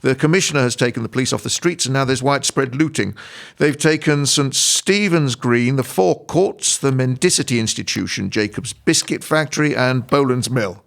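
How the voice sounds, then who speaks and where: Casting: male actor as dutiful, apologetic military underling, gravelly voice, radio drama briefing. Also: mid-range voice, moderate pace, lightly acted. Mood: dutiful, factual. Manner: hesitant, apologetic, dutifully factual. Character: deferential male subordinate briefing his superior officer. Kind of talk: audio play acting.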